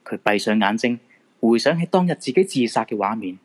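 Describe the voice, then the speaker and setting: A man's voice: lowish voice, lively intonation, fairly quick, breathy edge. A man with a Hong Kong Cantonese accent narrating a radio drama in a dramatic scripted storytelling style.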